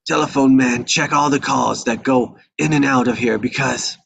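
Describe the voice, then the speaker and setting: Man acting a gravelly, weary old mafia don. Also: mid-range voice, fairly even intonation, fairly bright tone. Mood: weary, regretful. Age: older. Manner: rambling, halting. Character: weary, forgetful old mafia patriarch, male. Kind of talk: live table read of a crime film script.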